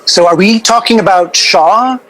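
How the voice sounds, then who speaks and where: An actor playing a rambling, self-absorbed professor in a radio drama interview, hesitant and fussy, drifting into digressions. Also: male voice, high-pitched, moderate pace, a bit bright, rough recording. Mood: eager, digressive. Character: rambling, self-absorbed male academic record-label curator.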